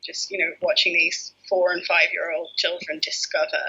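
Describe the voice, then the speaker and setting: A woman, a head teacher telling her story hesitantly, with many fillers and a breathy voice. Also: fairly low pitch, level tone, moderate pace, bright, forceful tone. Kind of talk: personal story in interview. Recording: muffled lo-fi audio.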